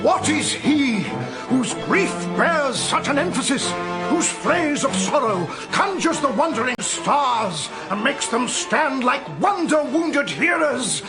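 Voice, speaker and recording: Declaiming matinee idol, very high-pitched. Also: male voice, animated, slow pace, over loud music, exaggerated voice, poor audio. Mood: anguished, grand. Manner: declamatory, projected. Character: grandiose male matinee idol declaiming Shakespeare on stage. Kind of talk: classical stage acting within radio drama.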